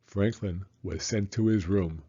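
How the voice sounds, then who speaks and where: A man reading a children's story in an expressive, deliberate narrating style with theatrical emphasis. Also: low-pitched, husky, muffled recording.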